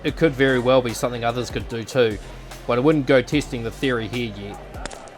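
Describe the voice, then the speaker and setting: A man playing a cocky young fighter with a dark, twisted side in a visual novel. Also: medium pitch, fairly quick, natural voice. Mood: calm, reflective. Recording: voice over background music.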